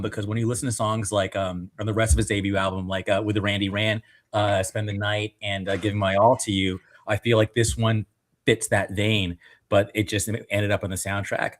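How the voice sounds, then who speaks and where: A man with a fairly low voice co-hosts a casual music podcast. He runs through his ranking with enthusiasm. He stretches out the words he wants to stress. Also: fairly even intonation. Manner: enthusiastic, drawn-out emphasis when praising.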